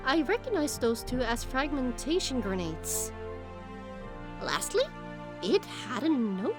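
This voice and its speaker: A high-voiced woman narrates a dramatic first-person story. She takes her time and is openly emotive, with light music playing underneath. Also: takes it slowly. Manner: expressive, emotive. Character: young pony heroine, emotional and earnest. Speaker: audiobook narrator.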